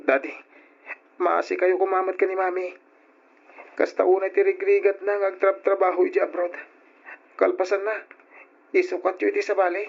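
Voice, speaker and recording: A man's voice: high voice, flat delivery, normal speed, creaky, pauses often. A man with an Ilocano accent playing a troubled, earnest young son and older brother in old radio drama style. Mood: pleading, upset. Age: young adult. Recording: dull lo-fi old radio recording.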